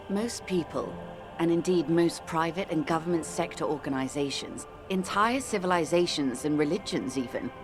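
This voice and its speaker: An actress playing a composed, wealthy middle-aged corporate negotiator in a video game, speaking in a measured, articulate, world-weary way. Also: female voice, low-pitched. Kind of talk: video game character dialogue.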